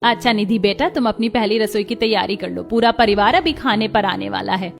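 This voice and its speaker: A woman's voice: medium pitch, brisk, gravelly, over music, natural voice. A woman voicing a kindly middle-aged village mother-in-law in a Hindi cartoon story, motherly and approving.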